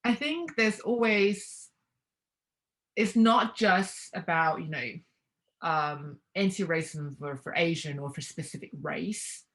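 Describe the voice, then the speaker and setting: A young woman with a somewhat low voice, speaking in a relaxed, conversational way. She thinks out loud, restarts her sentences and laughs lightly between thoughts. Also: takes it slowly. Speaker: interview guest. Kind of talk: casual conversational interview.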